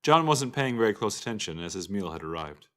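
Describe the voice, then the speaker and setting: A man's voice: fairly low pitch, very animated, fairly quick, a bit bright. Man narrating a scripted fantasy tale. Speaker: storyteller.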